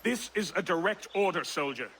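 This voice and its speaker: A stern, imperious navy officer for a video game. The voice is high and piercingly forceful, barking commands over faint noise. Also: male voice, lively intonation, moderate pace. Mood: stern, urgent. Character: barking, imperious male British naval officer. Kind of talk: video game character acting.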